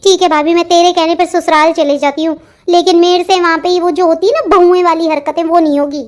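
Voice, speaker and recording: A woman's voice: very high voice, fairly even intonation, fairly quick. A woman voicing a sulky, defiant young bride in a melodramatic Hindi cartoon, talking back petulantly about her forced marriage. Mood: pleading.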